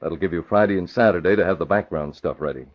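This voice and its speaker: An actor playing a young newspaper publisher in an old radio drama, a crisp, matter-of-fact boss giving directions. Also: male voice, low-pitched, fast. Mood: businesslike. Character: young, businesslike male newspaper publisher, brisk and calm boss. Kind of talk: 1940s-50s radio acting. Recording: dull vintage radio recording.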